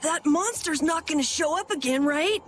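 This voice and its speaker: A woman voicing a timid, whiny young boy adventurer in an anime-style game, plaintive and excitable. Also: high voice, moderate pace, a little hiss or hum, lightly acted. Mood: worried.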